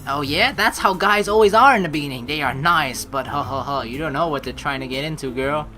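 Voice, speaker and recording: A woman narrating a game playthrough, with animated, jokey asides and some swearing. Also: low voice, brisk. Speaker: let's play streamer. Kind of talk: playthrough narration with casual reactions. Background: background game music.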